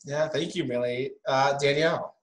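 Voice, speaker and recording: A man's voice: medium pitch, fairly even intonation, normal speed. A man speaking on a panel, talking in a friendly, conversational way. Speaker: panelist and moderator. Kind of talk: casual panel discussion.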